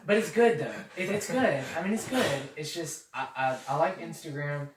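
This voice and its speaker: A young man co-hosting a casual podcast, talking in loose, filler-packed runs that pick up when he gets excited. He sits back from the mic in a bare, echoing room with a faint hiss behind him. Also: fairly high pitch, moderate pace. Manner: rambling, filler-heavy, excited about social media. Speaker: podcast host. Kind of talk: casual chat. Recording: echoey room, mic at a distance, faint noise.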